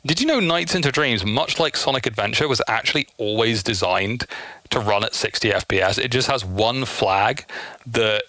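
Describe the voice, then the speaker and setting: A man's voice: medium pitch, moderate pace, sharp tone, no room sound, poor audio. A man giving casual, chatty gameplay commentary, explaining things as he goes in an enthusiast's asides. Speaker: gameplay streamer.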